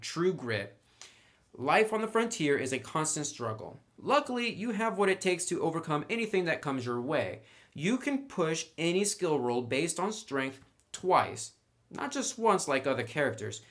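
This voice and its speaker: A man's voice: mid-range voice, fairly bright tone. Casual man reviewing a tabletop game book. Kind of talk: casual explanatory review commentary.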